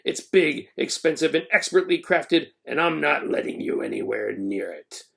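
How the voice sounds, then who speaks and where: Storybook principal, played by a male reader: pompous, blustering, indignant, high-pitched and snapping, wildly swooping. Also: lively intonation, normal speed, creaky, a bit bright, full character voice. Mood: exasperated, scolding. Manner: indignant, snapping, emphatic outbursts. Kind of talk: children's storybook read-aloud.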